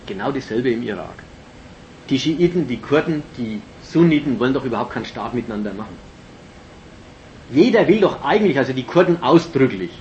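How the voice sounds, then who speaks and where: Male lecturer, slightly high voice, emphatic and analytical, muffled lo-fi tape with faint hiss. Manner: emphatic, analytical, with many fillers and restarts. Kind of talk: political lecture. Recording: dull lo-fi recording with faint hiss.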